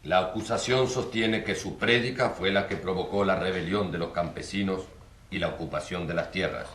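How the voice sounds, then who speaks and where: Low, grave courtroom judge. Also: male voice, fairly bright tone, echoey room, a little hiss or hum. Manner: formal, measured, grave. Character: stern, formal male presiding judge. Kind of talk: radio drama acting.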